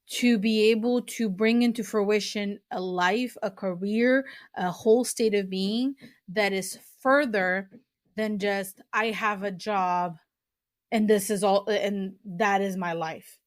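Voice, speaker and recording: A young woman from Portugal hosts her podcast. She talks slowly and earnestly, pausing on ums and restarting phrases. Her voice sits at a middling pitch. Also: no echo, clean studio sound. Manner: slow, earnest, with fillers and restarts. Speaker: podcast host. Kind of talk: casual podcast talk recommending books.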